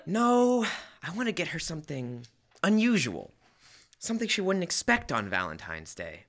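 Young man as bright-voiced, animated radio-comedy husband. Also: fairly high pitch, moderate pace, heavily acted. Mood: hesitant. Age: teens to young adult. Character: earnest, fussy, hapless suburban husband. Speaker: student actor. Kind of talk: old-time radio comedy acting. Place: school library, indoors, live stage reading. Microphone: stand microphone.

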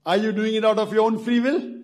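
An older man lecturing in a large indoor hall into a stand mic, calmly asking questions and leading phrases for the crowd to repeat. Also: sits high, fast. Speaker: lecturer leading converts in a declaration of faith. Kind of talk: public lecture Q and A.

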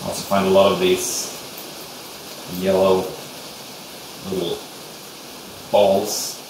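A man's voice: sits low, takes it slowly. A young Swedish man with a Swedish accent, talking hesitantly while working on a bonsai, thinking aloud with many fillers in a casual tutorial. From Sweden. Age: young adult. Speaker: bonsai hobbyist vlogger. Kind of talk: casual hands-on tutorial chat. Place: small glassed sunroom indoors with rain outside. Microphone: camera mic from a distance. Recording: echoey distant camera audio with rain hiss. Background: steady rain noise.

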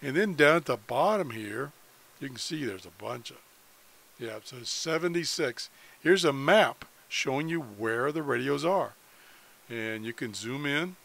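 A man's voice: medium pitch, expressive, unhurried, vocal fry, a bit bright. An American man giving a casual screen-share tutorial as a hobbyist, unscripted and thinking aloud as he goes. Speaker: amateur radio hobbyist demonstrating a website.

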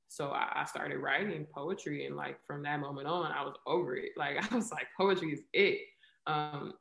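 A young woman in her twenties talks candidly over a webcam from her living room, thinking out loud with plenty of fillers. Her voice carries a gravelly fry. The room around her is noticeably echoey. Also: sits low. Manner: candid, reflective, many fillers like "right?" and "um". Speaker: interview guest, athlete and poet. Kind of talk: casual video call interview. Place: living room at home, indoors. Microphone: video call webcam. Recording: roomy echo on a video call.

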